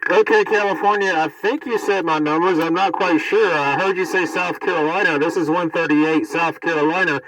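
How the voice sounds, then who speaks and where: A man's voice: a little high, normal speed, few pauses, a crowd in the background, low-quality recording. A middle-aged American man talking casually as a CB radio hobbyist, often repeating his phrases. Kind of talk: casual vlog talk and radio calling.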